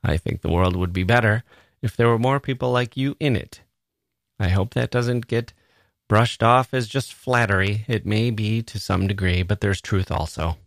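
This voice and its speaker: A man's voice: lowish voice, normal speed, gravelly, no room sound, studio quality. A man hosting a podcast, speaking to listeners in an earnest, musing way and repeating words for emphasis. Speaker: podcast host. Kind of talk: reflective monologue to listeners.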